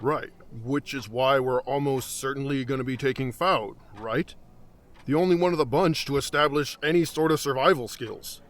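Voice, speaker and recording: A man's voice: mid-range voice, normal speed, close to an everyday voice. Man playing a casual mid-voiced adventurer.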